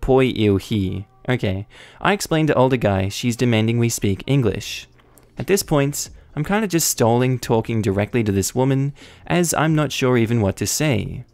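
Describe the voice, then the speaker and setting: Man calmly reading forum stories. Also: medium pitch, dry recording. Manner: even, unhurried reading with wry asides. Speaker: story narrator.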